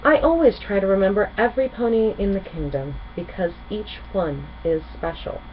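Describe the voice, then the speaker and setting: A kindly, confident pony princess, as a woman reader puts her on, speaks reassuringly in a children's storybook. The recording is dull, with clear background noise. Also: medium pitch, moderate pace, lightly acted. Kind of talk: children's storybook character reading.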